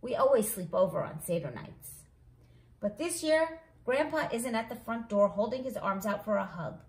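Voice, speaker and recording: A woman reading a children's story aloud in an expressive storytelling style, acting out the dialogue. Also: medium pitch, moderate pace. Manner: animated, acts out dialogue. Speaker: read-along host, storyteller. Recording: echoey room.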